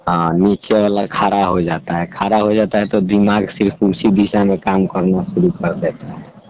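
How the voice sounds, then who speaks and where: A man's voice: sits low, little pitch movement, normal speed. A man with a Bihari accent chatting casually on a phone call, explaining things in a colloquial way. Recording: dull lo-fi call recording, clear noise.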